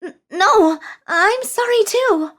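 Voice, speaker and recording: A woman's voice: very high-pitched, expressive, takes it slowly, fairly bright tone, full character voice. A woman voicing a meek, hardworking young office part-timer who narrates her own story in a manga dub and stammers when flustered. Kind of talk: scripted first-person manga dub narration.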